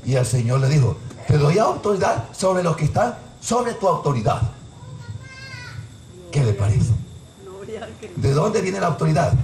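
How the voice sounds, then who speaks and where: Fiery preacher man, echoey church. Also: high voice. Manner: fiery preaching, swings from quiet asides to shouted Bible verses. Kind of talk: sermon. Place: church sanctuary with congregation. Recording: echoey church with crowd noise.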